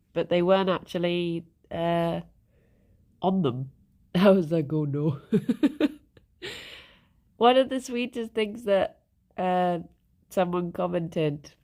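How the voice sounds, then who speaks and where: A woman's voice: mid-range voice, a little mellow. A woman with a stuffed-up nose, chatting casually with her live chat, greeting viewers by name and giggling often. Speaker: streamer.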